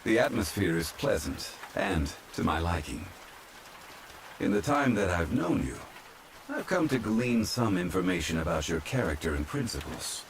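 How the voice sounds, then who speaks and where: A man playing a calm, courtly ancient former god from a fantasy game, speaking formally and with measured politeness in an ASMR roleplay. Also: low-pitched, slight background noise. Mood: calm, courteous. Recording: steady rain sound over the voice. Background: rain falling.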